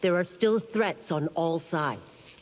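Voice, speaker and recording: Imperial warrior officer, video game, formal, grave, deep register, echoing hall. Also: female voice, animated, takes it slowly, a little hiss or hum. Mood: grave, dutiful. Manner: formal, businesslike. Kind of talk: dramatic video game dialogue.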